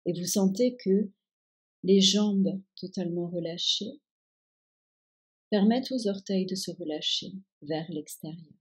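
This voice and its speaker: A woman guiding a yoga nidra relaxation with calm, soothing instructions in a soft-edged, breathy voice. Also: lowish voice, unhurried, long pauses. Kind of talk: guided meditation, relaxation instructions.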